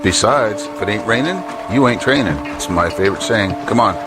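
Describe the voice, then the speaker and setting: A man's voice: fairly low pitch, lively intonation, a little fast, natural voice. A man acting in an audio drama as a stern army sergeant, a tough drill instructor with a commanding, clipped delivery. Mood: instructing. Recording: loud music behind.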